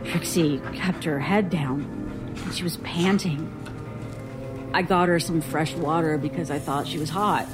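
Young female survivor, low-pitched, husky, guarded, sardonic, audio drama, music underneath. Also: fairly even intonation, a little slow. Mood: haunted, subdued. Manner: sardonic, defensive. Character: bitter, guarded young woman, traumatized lone survivor.

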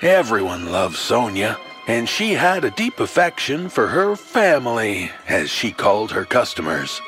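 A man's voice: on the low side, very animated, measured pace, a bit bright, faint music behind. A man narrating a scripted horror story in a theatrical, folksy and wry way, speaking straight to the listener. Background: musical score.

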